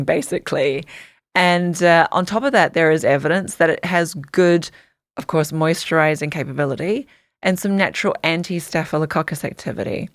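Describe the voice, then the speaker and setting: A woman from Samoa speaks as an interview guest, with a notably low voice. She tells her stories in earnest detail, often restarting her sentences. The sound is dry and close. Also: dry recording. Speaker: podcast guest, dog skincare founder. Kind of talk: interview storytelling.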